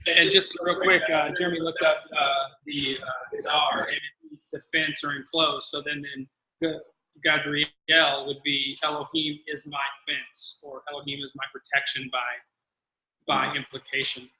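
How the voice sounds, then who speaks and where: A man's voice: fairly high pitch, flat delivery, moderate pace, pushed and bright, echoey room, poor audio. A man hosting a live question-and-answer forum, talking in a casual, conversational way. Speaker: forum host.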